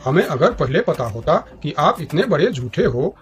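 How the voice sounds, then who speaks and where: A man's voice: fairly high pitch, big pitch swings, moderate pace. A man voicing a doubtful, blunt talking jungle animal in a children's cartoon story. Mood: doubtful, challenging. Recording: music behind the voice. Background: music.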